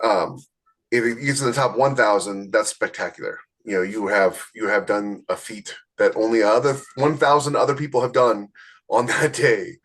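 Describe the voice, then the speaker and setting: A man with a fairly low-pitched voice talks as a podcast host. He explains things in a conversational, unscripted way and often turns straight to the listener. The delivery is that of casual talk drawn from his own experience. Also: normal speed, fairly bright tone. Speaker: podcast host, self-published author.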